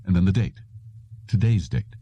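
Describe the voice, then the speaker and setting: A man's voice: sits low, fairly even intonation, moderate pace, creaky, mellow, husky, no room sound, dull audio. A man reading a science fiction story aloud as scripted narration. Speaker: audiobook narrator.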